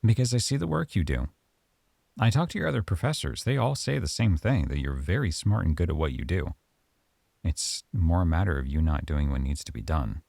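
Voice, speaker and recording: Deep, gravelly male lead for a close-mic ASMR roleplay, dry sound, calm and measured. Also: no room sound. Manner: calm, measured, softly stern. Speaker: audio roleplay performer as a professor.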